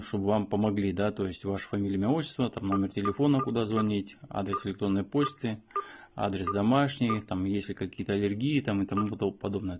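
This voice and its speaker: A man giving an unscripted step-by-step device walkthrough as a review host, explaining piece by piece with hesitant pauses. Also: fairly low pitch, gravelly, a little mellow.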